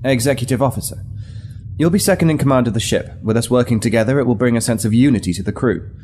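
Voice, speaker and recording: An actor playing a weary but resolute military starship captain in an audio drama, measured, formal and authoritative. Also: male voice, mid-range voice, darkish tone, a little hiss or hum, close to an everyday voice. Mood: grave, persuasive.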